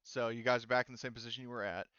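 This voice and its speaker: A man's voice: medium pitch, fairly even intonation, racing, fairly bright tone, clean tone, no echo, dull audio. A man acting as game master, casually explaining and narrating a tabletop game in a hesitant way.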